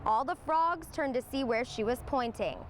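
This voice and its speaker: A woman reading a children's story aloud as scripted narration, in an expressive manner. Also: high-pitched, normal speed, slight background noise. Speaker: storybook reader, meteorologist.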